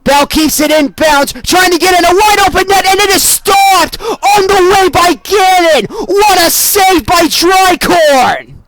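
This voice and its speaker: A young man doing excitable live play-by-play sports commentary as a student sportscaster. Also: extremely high pitch, a little slow, few pauses, poor audio. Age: young adult. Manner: excitable, bursting into shouts on big plays.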